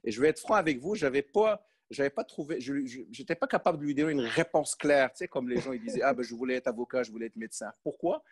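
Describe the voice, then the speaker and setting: A man's voice: mid-range voice, animated, fast, few pauses, breathy edge. A Moroccan-born man in his forties, a podcast guest talking about his life in a hesitant, conversational way with many fillers. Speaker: podcast guest, sales manager. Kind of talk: conversational interview.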